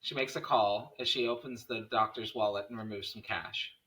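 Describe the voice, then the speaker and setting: A man's voice: lowish voice, fairly even intonation, normal speed, pushed and bright, poor audio. A man reading stage directions as narrator at a screenplay table read, in scripted narration.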